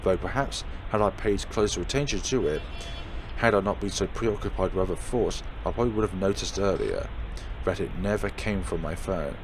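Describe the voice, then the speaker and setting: Guy with a low voice reading a horror visual novel out loud, dramatic, pretty noisy audio. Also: fairly quick. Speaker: let's player reading a game aloud.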